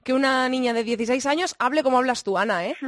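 A woman's voice: high voice, fast talker, dry recording, studio quality. Spanish woman hosting casual sports radio. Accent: Spain. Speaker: radio show host.

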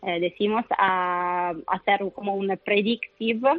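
A woman's voice: medium pitch, fairly even intonation, takes it slowly, no room sound. Italian-accented woman on a phone line. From Italy. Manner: hesitant, many fillers and restarts. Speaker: company founder guest. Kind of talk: radio phone interview. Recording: tinny phone line under music.

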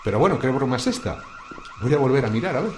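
A man's voice: mid-range voice, animated, fast, darkish tone, a little hiss or hum, lightly acted. An actor from a radio drama playing a kindly, grumbling middle-aged night watchman, with colloquial Spanish from Spain and a muttering manner. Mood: grumbling, wary. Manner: colloquial, muttering to himself, exasperated. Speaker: night security guard.

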